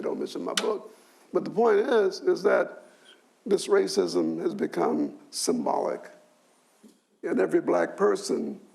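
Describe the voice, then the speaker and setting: An older man with a high, strongly gravelly voice speaks on a discussion panel. His pitch moves a lot as he explains, and he often repeats a word before going on. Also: a little mellow. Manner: repeats and restarts words, adds "you see". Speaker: sociology professor, panelist.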